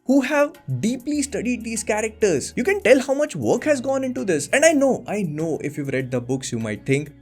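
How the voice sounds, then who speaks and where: A man's voice: high-pitched, sing-song, normal speed, hardly any pauses, soft background music. A young man hosting a vlog, eagerly recommending something in an emphatic storytelling way. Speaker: channel host. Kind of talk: sponsored recommendation monologue.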